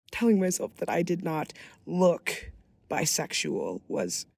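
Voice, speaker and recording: A woman's voice: lowish voice, vocal fry, a little mellow, no room sound. Earnest woman reading a monologue, dry sound. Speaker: monologue reader. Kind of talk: dramatic spoken monologue reading.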